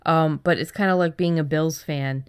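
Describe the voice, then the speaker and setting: A woman's voice: sits low, steady tone, moderate pace, clear, resonant tone. A woman co-hosting a casual chat, thinking aloud in a loose, rambling way. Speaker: podcast co-host.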